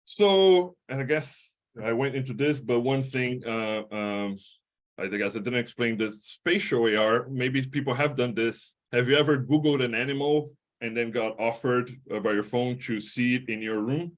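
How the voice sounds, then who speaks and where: A middle-aged man with a Portuguese-speaking accent gives a lecture as a history professor. His delivery is halting, full of fillers, restarts and tag questions. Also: mid-range voice, steady tone. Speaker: history professor giving a talk. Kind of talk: academic lecture.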